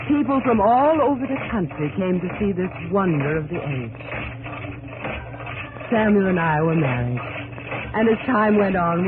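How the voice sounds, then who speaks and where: A woman's voice: fairly low pitch, background noise. An actress playing a devoted wife who looks back on life with her husband, in the style of 1950s radio drama narration. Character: devoted wife of a mill pioneer, reminiscing. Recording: old 1950s radio broadcast, music behind.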